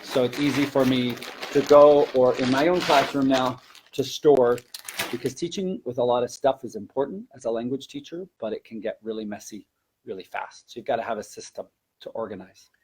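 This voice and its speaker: A man's voice: mid-range voice, fairly quick, low-quality recording. A man who teaches languages, presenting practical classroom advice in an informal, conversational way.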